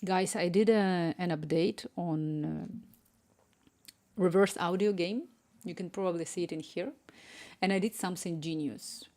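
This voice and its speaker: Woman, Ukrainian accent with Spanish touch, mellow low voice, chatty streamer, mutters while coding. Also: fairly even intonation, measured pace. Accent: Ukrainian with Spanish influence. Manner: chatty, distracted, reads chat aloud, murmurs to herself. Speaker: live-streaming app developer. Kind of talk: casual live-stream chat while coding. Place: home room.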